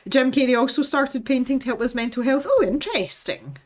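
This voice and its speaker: A Scottish woman chatting casually as a livestream host, opinionated and given to lively storytelling. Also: sits high, a little fast. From west coast of Scotland. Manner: chatty, opinionated, animated storytelling with acted-out quotes. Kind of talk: casual chat with live viewers.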